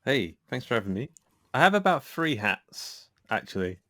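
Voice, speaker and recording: A man's voice: mid-range voice, very animated, moderate pace, bright, forceful tone, no room sound, studio quality. A man chatting casually and jokily as a guest on a podcast. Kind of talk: casual jokey chat.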